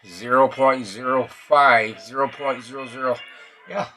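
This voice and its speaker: A man's voice: medium pitch, fairly even intonation, measured pace, over music. A man casually thinking aloud through a repair demonstration, mumbling to himself and trailing off mid-thought. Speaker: radio repairer hosting a repair video.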